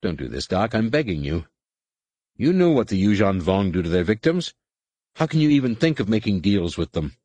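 A man voicing a steady, defiant young male pilot in a space adventure audiobook, firm and curt. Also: lowish voice, normal speed, dry recording. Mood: pleading, defiant.

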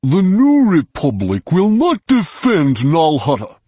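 A man doing a slow rumbling pompous Hutt. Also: expressive, warm tone, no echo, studio quality. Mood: thundering. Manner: grandiose, indignant, slow rumbling delivery. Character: young male Hutt, huge slug-like, pompous, blustering. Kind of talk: audiobook character voice.

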